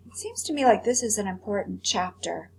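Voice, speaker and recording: A woman's voice: mid-range voice, sing-song, brisk, a little mellow, poor audio. A woman teacher reading a storybook aloud and commenting on it for pupils. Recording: clear background hum and noise.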